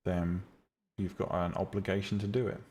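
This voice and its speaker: A man's voice: low voice, moderate pace. A man live-streaming, chatting casually and bluntly with his viewers about making 3D tutorials, with lots of ums and restarts. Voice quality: calm, relaxing voice. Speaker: streamer teaching 3D rendering and visual novel making.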